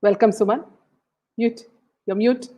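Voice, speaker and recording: A woman hosting an online protest call who briskly welcomes and prompts each guest. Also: fairly high pitch, fairly even intonation, warm tone. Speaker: host. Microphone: video call.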